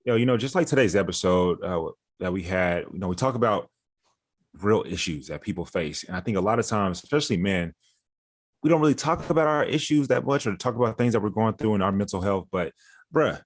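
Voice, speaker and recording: A man with a lowish voice talks quickly in a dry-sounding recording. He is a podcast host doing a conversational ad read, informal and earnest. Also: animated, no room sound. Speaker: podcast host reading a sponsor message.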